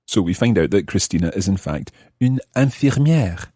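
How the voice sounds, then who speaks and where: A man hosting a language lesson with scripted narration, explaining points carefully and enunciating clearly for learners. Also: deep voice, animated, a little slow, dark tone, no room sound, clean studio sound.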